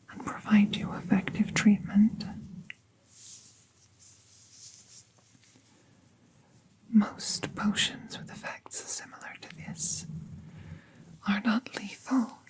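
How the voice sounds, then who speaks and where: Casting: a woman as a gentle magical veterinarian for ASMR roleplay; soft breathy whisper, very slow, reassuring, slightly formal. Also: medium pitch, lightly acted, rough recording. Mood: careful, apologetic. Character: kind, soothing magical veterinarian woman tending an injured patient.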